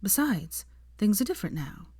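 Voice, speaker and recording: A woman's voice: mid-range voice, big pitch swings, moderate pace, warm tone, husky, no room sound, studio quality, voice pushed up. Woman reading as earnest, careful young woman. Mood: embarrassed. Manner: careful, a little stiff. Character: earnest, self-conscious young woman defending her boyfriend.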